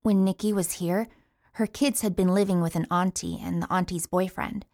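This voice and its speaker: A woman's voice: on the low side, fairly even intonation, normal speed, a little mellow, dry recording, lightly acted. Actress as blunt female inmate, close dry sound. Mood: indignant. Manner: blunt, profane. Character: indignant, grieving female inmate mourning her friend. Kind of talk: storytelling audio play monologue.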